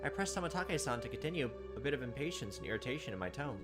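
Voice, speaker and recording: A man's voice: medium pitch, very animated, racing, loud music behind the voice. A man doing a visual novel let's play, reading aloud expressively and adding sarcastic asides. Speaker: let's player.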